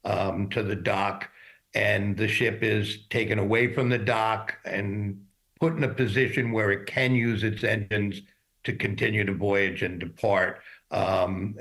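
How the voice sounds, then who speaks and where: A man speaking as an industry expert in a news interview, explaining things in a measured, formal way. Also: fairly low pitch, fairly bright tone.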